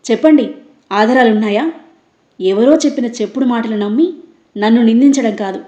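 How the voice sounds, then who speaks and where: A woman's voice: medium pitch, steady tone, normal speed, warm tone, echoey room, lo-fi sound, close to an everyday voice. A woman voicing a proud, hurt young daughter-in-law who firmly challenges and demands proof. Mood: defiant.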